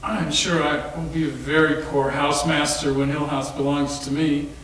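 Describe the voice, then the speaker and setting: A wry young man, played by a male stage reader projects dramatically in a fairly high voice. The recording is very echoey and distant, with faint noise behind. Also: flat delivery, normal speed, fairly bright tone, lightly acted. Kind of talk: readers theater acting and narration. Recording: very echoey room, far from mic, faint noise.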